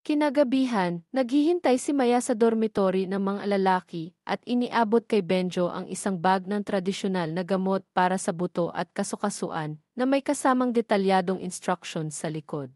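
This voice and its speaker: A woman's voice: medium pitch, moderate pace, hardly any pauses, a little mellow, no echo, studio quality. A woman with a Filipino accent reading a scripted romance story as its narrator.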